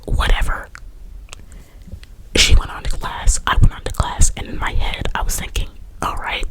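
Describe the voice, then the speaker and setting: A young adult woman whispering a casual personal story to her viewers, chatty and full of fillers. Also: normal speed. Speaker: vlogger.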